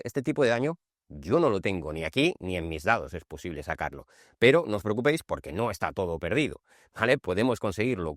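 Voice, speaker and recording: Low-voiced man from Spain explaining game rules. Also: no echo, clean studio sound. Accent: Spanish from Spain. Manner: conversational, checking understanding with frequent short tags. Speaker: board game channel host.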